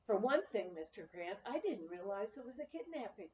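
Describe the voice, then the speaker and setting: A woman's voice: mid-range voice, very animated, moderate pace, roomy sound, muffled recording, lightly acted. A woman voicing an earnest, worried memoir heroine with emphatic, exclaiming speech. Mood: defensive.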